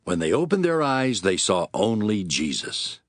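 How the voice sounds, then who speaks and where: Low, expressive male scripture narrator. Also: a little slow, dry recording, clean studio sound. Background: music.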